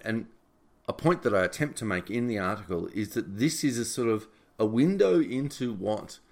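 A man giving informal solo political commentary in a deliberate, emphatic and opinionated way. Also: low-pitched. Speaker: podcast host and writer summarizing own article.

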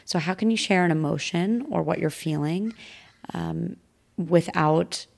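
Low gravelly woman, earnest. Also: a little slow, a little mellow. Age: 30s. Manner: reflective, earnest, talks through thoughts with restarts. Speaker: podcast guest. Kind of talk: casual conversation.

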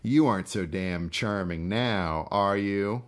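A vengeful brother in a dark short story, acted out by a male reader, low-pitched and menacing. He taunts his helpless victim with mocking, sing-song questions and cruel relish. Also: slow. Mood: gloating, raging.

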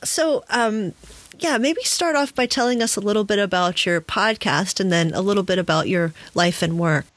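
A woman's voice: mid-range voice, normal speed, no room sound. Woman hosting a conversational podcast, dry close sound. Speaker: podcast host. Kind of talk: conversational interview.

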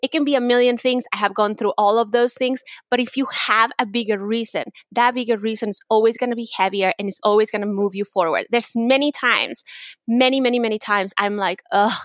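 A woman's voice: fairly high pitch, a little fast, dry recording, clean studio sound. A young woman from Mexico with a Mexican accent, chatting openly as a podcast guest, laughing often and restarting her sentences. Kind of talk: casual interview chat.

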